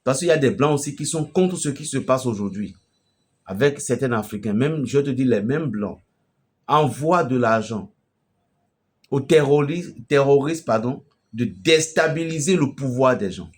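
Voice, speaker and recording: A man from Benin with a Beninese French accent, preaching an emphatic, storytelling message to a fellow pastor. His voice swells loudly at the climaxes. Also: medium pitch, lively intonation, moderate pace. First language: a local Beninese language.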